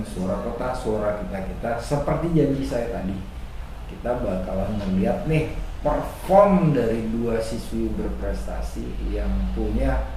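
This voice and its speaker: A man's voice: lowish voice, darkish tone. A man presenting on radio in a chatty, informal, casual way. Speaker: radio host. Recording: very echoey, distant mic, faint noise.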